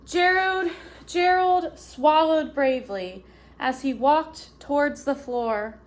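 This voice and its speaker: A woman's voice: sits high, takes it slowly. A woman reading a children's picture book aloud as a teacher, expressively and with simple asides to young listeners.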